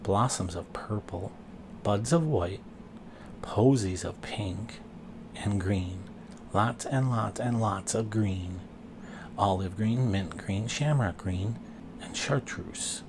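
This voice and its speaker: A man's voice: fairly low pitch, slow, background noise. A man voicing a cheerful, hungry husband in a children's storybook, exclaiming and drawing out words. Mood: proud.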